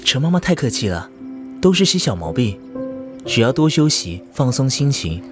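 Male actor as doctor: composed, courteous, cool; mid pitch with animated inflection, music behind, audio drama. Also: normal speed, natural voice. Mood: polite, composed. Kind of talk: Chinese audio drama acting.

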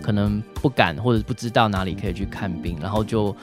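A man with a Taiwanese Mandarin accent answering questions as a doctor on radio, in halting phrases capped with particles. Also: on the low side, over music. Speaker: doctor, expert guest.

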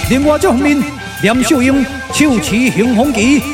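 A man's voice: extremely high pitch, moderate pace. A man with a Taiwanese accent giving dramatic scripted narration for a glove puppetry radio play. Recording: music under the voice.

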